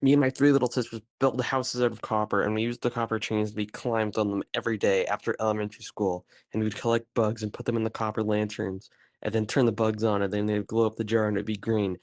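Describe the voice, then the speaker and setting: A young man on a podcast, improvising rambling comic stories with an earnest deadpan. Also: fairly low pitch, quick pace, no echo, studio quality. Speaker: podcast member. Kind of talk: improvised deadpan comedy storytelling.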